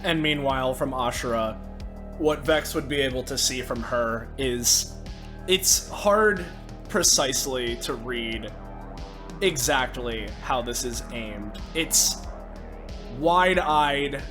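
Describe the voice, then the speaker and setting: A man playing a tabletop game who describes his character deliberately and in detail. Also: medium pitch, over music. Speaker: player. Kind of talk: narrating his character's actions.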